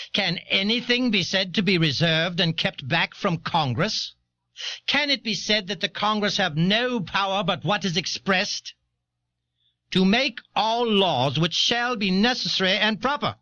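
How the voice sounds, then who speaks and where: A man's voice: high-pitched, pushed and bright, no room sound, heavily acted. A man playing an alarmed, insistent early American critic of government, delivering impassioned rhetoric on an old cassette. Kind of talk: dramatized reading of a historical polemic. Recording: dull, dry old audio cassette.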